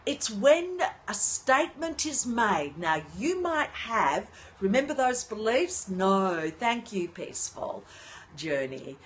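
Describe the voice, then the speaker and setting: An older woman hosting a casual livestream from her apartment on her phone, often pausing to greet and thank viewers. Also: a little high, big pitch swings. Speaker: livestream host. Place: at home in an apartment.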